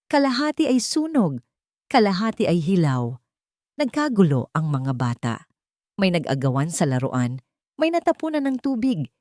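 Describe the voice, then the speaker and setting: A woman's voice: on the low side, darkish tone, dry recording, clean studio sound. A woman reading a scripted romance story as a dramatic narrator.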